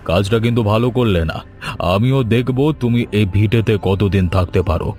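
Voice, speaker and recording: A man's voice: fairly low pitch, fairly quick, a little mellow, faint music behind, close to an everyday voice. A man voicing a pompous, threatening village landlord in a cartoon folk tale. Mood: stern, threatening. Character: stern, domineering village landlord, scheming villain.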